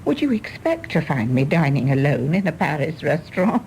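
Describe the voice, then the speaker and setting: A genteel English widow in a radio play, composed yet wistful and frank. Her measured voice has a noticeable creak and a lively melody, with faint rumble behind it. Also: exaggerated voice, poor audio. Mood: candid, curious. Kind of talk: British stage-play radio drama acting.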